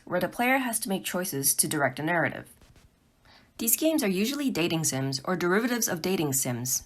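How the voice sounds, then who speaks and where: Woman, lower-pitched voice, very animated intonation, scripted presenter narration, instructional talk. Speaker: presenter, amateur visual novel developer.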